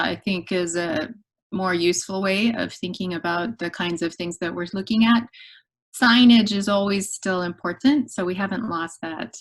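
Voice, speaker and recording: A middle-aged woman giving an academic webinar lecture over a video call, thoughtful and measured, often pausing on "um". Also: medium pitch. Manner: thoughtful, measured, with frequent ums. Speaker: guest lecturer.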